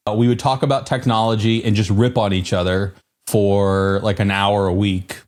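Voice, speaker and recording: A man co-hosting a podcast, chatting in a relaxed, easygoing way and reminiscing. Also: fairly low pitch, normal speed. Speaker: podcast co-host. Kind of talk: casual podcast chat.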